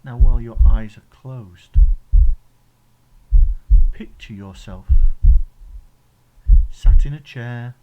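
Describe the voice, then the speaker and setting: Slow, very mellow English man. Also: mid-range voice, lo-fi sound, slight background noise. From England. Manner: gentle, soothing, instructional, with pauses. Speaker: meditation guide. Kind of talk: guided meditation.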